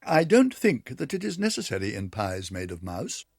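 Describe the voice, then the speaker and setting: A man's voice: medium pitch, wildly swooping pitch, normal speed, creaky, no echo, clean studio sound, exaggerated voice. A man doing a prim, proper lady cat. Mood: polite. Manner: primly polite, disapproving.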